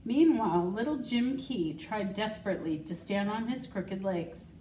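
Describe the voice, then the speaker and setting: Female storybook reader, unhurried and deliberate, roomy sound with faint background noise. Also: medium pitch, lively intonation, mellow, far from the mic. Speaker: school secretary, guest storybook reader. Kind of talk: reading a children's picture book aloud.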